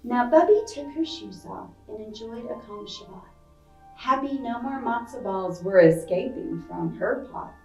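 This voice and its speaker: Woman storytime reader, very lively swooping delivery, unhurried pace, playful, children's picture-book read-aloud, echoey room. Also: fairly high pitch, far from the mic, soft background music. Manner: expressive, playful, acting out sound effects; chatty asides and questions to young listeners.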